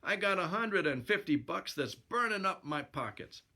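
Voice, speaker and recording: A man's voice: high-pitched, animated, moderate pace, bright, forceful tone, voice pushed up, exaggerated voice. A man voicing a tough, cocky brawling sailor in a storybook, blunt and slangy.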